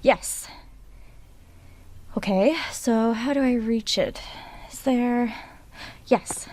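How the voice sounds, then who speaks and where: A woman's voice: a little high, extremely slow, darkish tone, a little hiss or hum. An actress in an audio drama playing a stubborn, defensive woman novelist around thirty, with a clipped manner. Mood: muttering, uneasy. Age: young adult.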